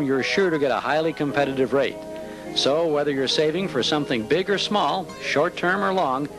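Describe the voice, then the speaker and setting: A man reading scripted advertising copy as a commercial announcer in a polished, measured way. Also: on the high side, poor audio.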